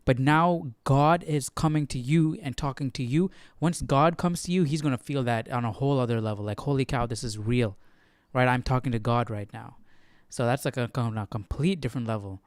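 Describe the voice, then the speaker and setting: A man's voice: medium pitch, a little fast, dry recording, studio quality. A man hosting a livestream study session, commenting in a casual, informal way and often checking in with listeners. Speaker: host of a Bible study livestream.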